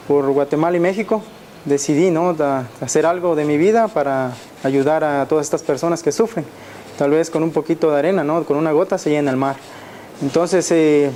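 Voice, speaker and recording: A young man in his twenties from Mexico, a seminarian sharing his testimony with hesitant pauses. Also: on the high side, warm tone, slight background noise. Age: 20s. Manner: hesitant, many fillers and restarts. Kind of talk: personal testimony.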